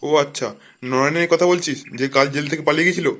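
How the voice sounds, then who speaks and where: A man voicing a gruff, foul-mouthed police officer in a comic cartoon, bossy and blunt. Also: fairly high pitch, fairly even intonation, fast talker, fairly bright tone, natural voice. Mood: grudging, businesslike.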